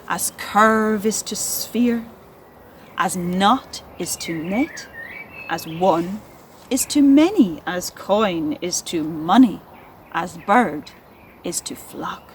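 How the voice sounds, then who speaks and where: Female children's presenter and poetry reader, lively intonation, unhurried delivery, faint ambient noise. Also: medium pitch. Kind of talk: expressive educational narration and poetry reading for children.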